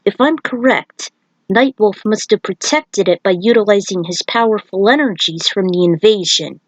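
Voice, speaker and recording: A woman's voice: mid-range voice, normal speed, dry recording, highs cut off, close to an everyday voice. Woman voicing a solemn male thunder god mentor. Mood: solemn, instructive. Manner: grave, formal, stately phrasing.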